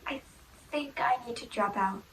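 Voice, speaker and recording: A woman playing a bullied teenage girl pleading with her counselor in a hushed, breathy voice. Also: a little high, normal speed, a little hiss or hum, close to an everyday voice. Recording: roomy, from far off.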